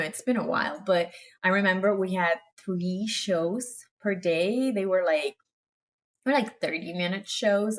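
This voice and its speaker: A woman's voice: fairly low pitch, fairly bright tone. Chatty woman dancer. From Italy. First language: Italian. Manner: chatty, emphatic, adds "okay?" to check in. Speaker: professional dancer and choreographer. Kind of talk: casual talk to camera.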